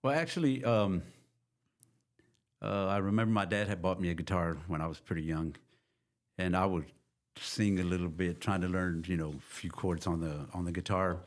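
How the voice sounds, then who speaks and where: An older man from Texas, a guest musician, telling long rambling stories in a relaxed chat. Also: sits low, moderate pace. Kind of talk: casual interview storytelling.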